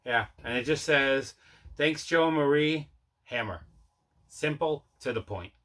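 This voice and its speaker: Bright-voiced man, casual unboxing host. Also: a little high, unhurried. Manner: rambling, conversational, self-correcting. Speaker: host of a collecting channel. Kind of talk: casual unboxing vlog chat.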